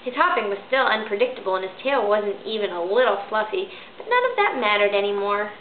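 A woman in her thirties reading a children's picture book aloud at home, lively and expressive. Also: fairly quick, a crowd in the background. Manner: lively, expressive read-aloud with high character voices. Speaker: storybook reader. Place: bedroom at home, indoors. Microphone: camera in front. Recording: echoey, phone-like thin sound.

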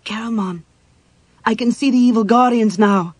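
A woman's voice: medium pitch, lively intonation, unhurried, darkish tone, slightly rough, no room sound, pitched above the performer's own voice. A performer voicing an earnest, devout young woman cleric, breathless and agitated, in a dramatic fantasy audiobook. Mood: fearful, urgent.

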